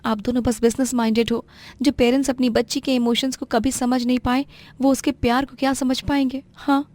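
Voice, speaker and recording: A woman's voice: high voice, little pitch movement, brisk, darkish tone, lightly acted. A woman playing an earnest, outspoken young rich daughter who mixes English into her Hindi in a cartoon tale. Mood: defiant, hurt. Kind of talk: animated moral-story dramatisation.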